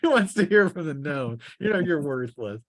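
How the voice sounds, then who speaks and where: A man's voice: on the high side, lively intonation, normal speed, no echo, close to an everyday voice. Man playing a mocking, menacing bandit leader. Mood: mocking menace. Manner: mocking, threatening. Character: menacing sneering yellow-toothed male bandit leader.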